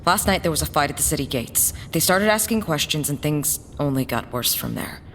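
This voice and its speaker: Echoing, low-voiced, blunt smuggler woman voiced by an actress. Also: expressive, brisk, fairly bright tone. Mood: urgent, serious. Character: earnest, defiant working-class woman smuggler on the run. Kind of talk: scripted audio drama acting. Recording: long reverb, faint rumbling background noise.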